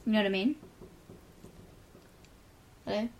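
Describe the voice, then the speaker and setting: A woman's voice: medium pitch, measured pace, a little mellow. A teenage girl co-hosting a casual chat, upbeat and encouraging with lots of fillers. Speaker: co-host.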